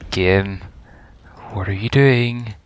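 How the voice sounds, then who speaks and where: A man's voice: on the low side, very slow, slight background noise. A man giving casual live reaction commentary as a gaming video creator.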